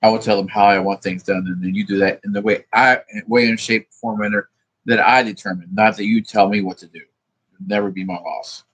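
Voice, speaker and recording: A man's voice: fairly low pitch, steady tone, brisk, a bit bright, breathy edge, low-quality recording. A man rambling in a profane, meandering monologue, thinking aloud as he works through sums. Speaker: vlogger.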